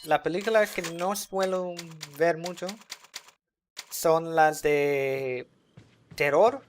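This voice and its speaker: A man's voice: fairly high pitch, slow, a little hiss or hum. A man streaming a game and chatting loosely with his live audience, rambling with lots of filler words. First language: English. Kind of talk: casual chat with a live audience while gaming.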